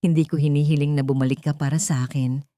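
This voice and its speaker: A remorseful rich man in a romantic audiobook, acted by a woman narrator. He pleads desperately in short, emphatic phrases. The voice is mellow and recorded dry. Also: deep voice, steady tone, fast talker, no echo, natural voice. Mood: desperate pleading.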